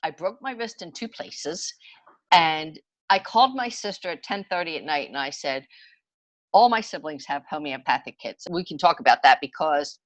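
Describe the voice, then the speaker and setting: A woman's voice: low voice, animated, normal speed, dry recording, rough recording. A woman in her sixties telling personal stories in a chatty, emphatic, conversational way as a podcast guest. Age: 60s. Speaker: podcast guest, homeopathy enthusiast.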